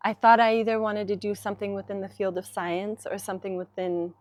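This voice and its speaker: A woman's voice: mid-range voice, fairly even intonation, brisk. A young woman from Denmark, a designer, talking casually in an interview outdoors in a vineyard, reflective and full of ums and likes with light laughter. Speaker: guest designer. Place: outdoors in a small vineyard on a summer evening. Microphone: noise-cancelling mic.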